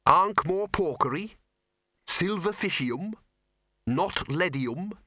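A man performs an inquisitive pal in a fantasy storybook. He is questioning and lightly teasing, in a raised, bright voice. The sound is dry and close. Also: sits high, animated, takes it slowly, no echo, pitched above the performer's own voice, exaggerated voice. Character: curious, teasing male friend of an alchemist.

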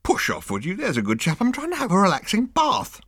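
A man voicing a relaxed, mild-mannered male spaceship captain, speaking in a languid, polite way. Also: high-pitched, a little fast, no room sound, clean studio sound, pitched above the performer's own voice, heavily acted. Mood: easygoing. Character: lazy, genial male spaceship captain in bath.

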